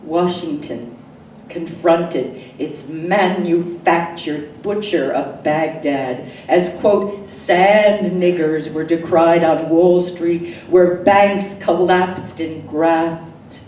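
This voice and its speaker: A woman poet reading her own work as a dramatic recitation, solemn and deliberate, with emphatic phrasing and pauses. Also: on the low side, a little mellow. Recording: echoey, distant mic, noisy room.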